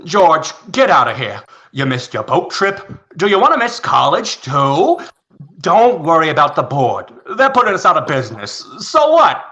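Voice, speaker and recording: Casting: male actor as loyal, folksy middle-aged uncle for radio play; bright, excitable, swooping voice. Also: fairly high pitch, normal speed, exaggerated voice. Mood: agitated. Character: excitable, loyal uncle in his late fifties. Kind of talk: live radio play reading. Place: remote online reading from home.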